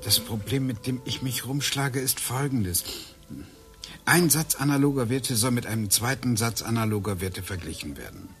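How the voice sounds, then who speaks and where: A man's voice: mid-range voice, moderate pace, a bit bright, faint music behind, natural voice. An actor playing a brooding man obsessed with physics, musing and explaining as he talks, in a radio play. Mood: thoughtful. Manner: musing, explaining, drifts into whispers. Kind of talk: radio drama acting.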